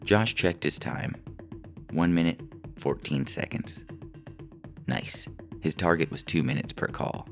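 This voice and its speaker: Male story reader, extremely deep, gravelly, scripted comic sci-fi narration, faint music behind.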